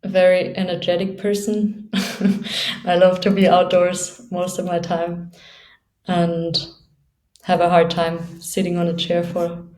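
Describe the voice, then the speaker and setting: A young woman from Austria speaks with an Austrian accent, unhurried and fairly low, and laughs lightly between her phrases. She talks casually as an interview guest. Her voice carries a noticeable room echo. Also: monotone, a little mellow. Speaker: guest, downhill skateboarder.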